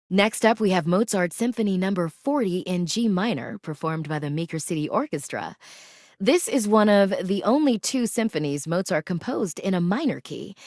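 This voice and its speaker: Lilting, cultured classical radio hostess. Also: female voice, lowish voice, no room sound, clean studio sound. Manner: measured, articulate, formal announcing. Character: cultured, gracious female classical public radio host. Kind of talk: scripted classical radio presenting.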